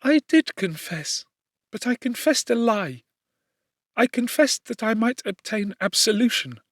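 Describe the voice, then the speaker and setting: Man voicing an anguished, high-pitched young servant woman. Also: very animated, unhurried, a bit bright, no echo, studio quality, exaggerated voice. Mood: miserable confessing. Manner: tearful, pleading, earnest. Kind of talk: dramatic audiobook character reading.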